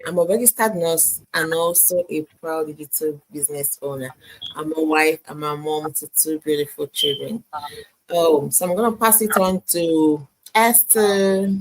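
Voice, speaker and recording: A woman's voice: sits low, unhurried, a little hiss or hum, rough recording. A woman from Nigeria, a guest in a live chat, telling her story earnestly and often repeating herself.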